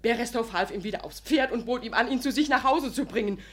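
An actress playing a cheeky, gossipy young maidservant in a radio comedy, eager and teasing. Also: female voice, a little high, quick pace, close to an everyday voice. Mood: gleeful, excited. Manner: breathlessly eager, giggly, teasing. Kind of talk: animated radio comedy storytelling.

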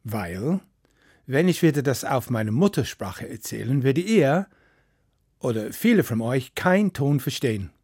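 A man's voice: mid-range voice, very animated, a little slow, darkish tone, husky, no room sound, studio quality. A man telling a folk story in to a live audience, chatty and playful with asides. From Wales. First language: English. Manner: chatty, playful asides, non-native grammar.

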